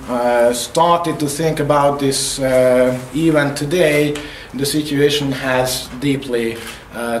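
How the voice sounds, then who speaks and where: Hungarian guy speaking english at a workshop, lots of uhs, echoey room, faint hum behind. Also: mid-range voice. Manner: hesitant, many filler pauses. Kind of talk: opening remarks at a workshop.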